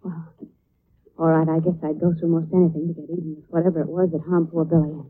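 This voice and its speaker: A woman's voice: deep voice, flat delivery, fast talker, phone-quality sound, natural voice. An actress playing a refined, anxious lady companion to a sleuth, earnest and breathless in a 1940s radio style. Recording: thin, hissy old radio broadcast.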